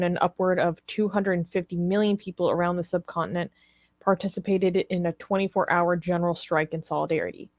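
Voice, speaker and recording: A woman's voice: lowish voice, a little mellow, dry recording, clean studio sound. A woman co-hosting a live discussion, reading articles aloud and commenting on them. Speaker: talk show co-host.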